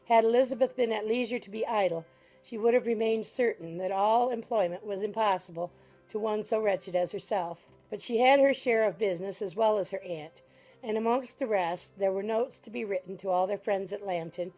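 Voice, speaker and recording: A woman's voice: medium pitch, normal speed, dark tone, faint music behind, poor audio. A woman narrating a classic novel in a scripted audiobook style.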